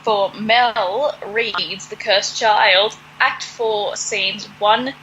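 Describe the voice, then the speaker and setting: A woman's voice: medium pitch, steady tone, extremely slow, sharp tone, highs cut off, slight background noise. A woman co-hosting a podcast in casual chat, with an informal, sardonic way of talking. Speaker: podcast co-host.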